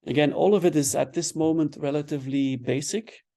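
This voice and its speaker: A man's voice: a little high, a little fast, creaky. A man giving an online lecture, explaining patiently and checking in with "right?" as he goes. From Belgium. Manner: relaxed, explanatory, frequent "right?" tags and repeated words. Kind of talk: online webinar lecture answering questions.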